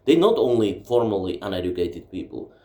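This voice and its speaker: A man's voice: fairly low pitch, sing-song, a little fast, warm tone. Blunt Czech-accented man in a roomy space. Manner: blunt, emphatic, profane. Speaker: book vlogger. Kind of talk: ranting monologue. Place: home library room. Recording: roomy echo.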